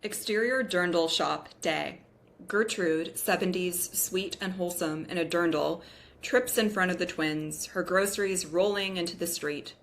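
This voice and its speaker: A woman's voice: on the low side, a little slow. A woman narrator reading scripted stage directions at a table read.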